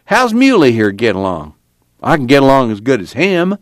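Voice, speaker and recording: A man's voice: a little high, sing-song, moderate pace, fairly bright tone, dry recording, studio quality, pitched above the performer's own voice. Man doing a cranky old farmer grandpa. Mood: furious. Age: older. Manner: cranky, defiant, folksy rural speech.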